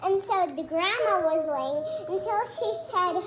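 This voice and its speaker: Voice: extremely high pitch, a little slow. A young child improvising a story as the storyteller of a puppet show. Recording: noisy homemade recording.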